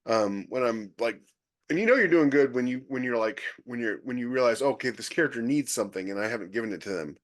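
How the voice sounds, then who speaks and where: A man's voice: mid-range voice, lively intonation, fast talker, dry recording. A man co-hosting a podcast panel, chatting casually about writing, rambling with lots of restarts and fillers. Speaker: podcast co-host, author.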